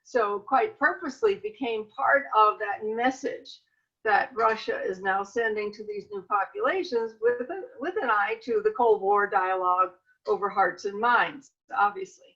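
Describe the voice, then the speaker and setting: A woman answering as a panelist in an academic discussion, measured, with halting restarts. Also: mid-range voice, a bit bright, breathy edge, muffled recording.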